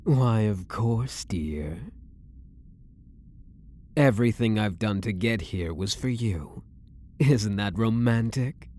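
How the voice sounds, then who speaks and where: A man's voice: lowish voice, darkish tone, a little hiss or hum. A man voicing a possessive, coy elf prisoner in an intimate ASMR roleplay, teasing and devoted, sometimes dropping toward a whisper. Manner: teasing, slow, lilting delivery dropping into whispers.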